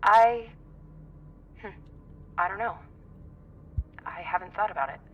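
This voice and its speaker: A woman's voice: medium pitch, unhurried, far from the mic, lo-fi sound, slight background noise, close to an everyday voice. A woman playing a wry, sceptical space scavenger crewmate in a video game cutscene, with dry delivery. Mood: wry, sarcastic. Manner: dry, sardonic.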